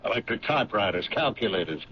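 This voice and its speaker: A man's voice: lowish voice, expressive, fast, lightly acted. Man playing a skeptical police captain, dry banter, dull old radio recording, faint hiss. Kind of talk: 1970s radio drama acting.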